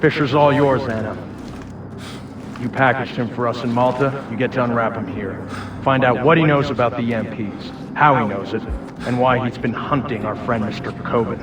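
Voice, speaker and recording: An actor playing a cold, commanding, corrupt agency director in a video game, with an American accent. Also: male voice, medium pitch, fairly even intonation, normal speed, close to an everyday voice. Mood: cold orders. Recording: echoey, distant, ambient noise.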